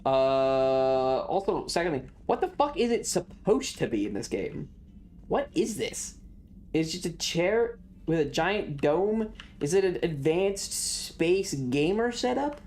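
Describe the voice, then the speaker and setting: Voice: mid-range voice, sing-song, takes it slowly, darkish tone. A streamer chatting casually while playing a video game, rambling along to themselves and the viewers. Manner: rambling, talks to self and chat. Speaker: streamer hosting a live stream.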